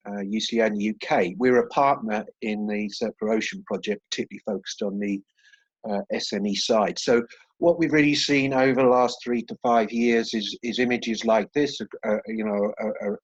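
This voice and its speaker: A man's voice: on the low side, fairly even intonation, moderate pace, slightly rough, dry recording. Hesitant man presenting over dull call audio. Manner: hesitant, many fillers and restarts. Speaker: professor presenting research. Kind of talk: webinar presentation. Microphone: video call.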